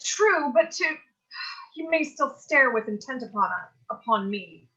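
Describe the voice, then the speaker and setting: A woman's voice: high-pitched, lively intonation, measured pace, sharp tone, full character voice. A woman acting an uneasy teenage girl in Shakespearean dialogue, on a dull lo-fi recording.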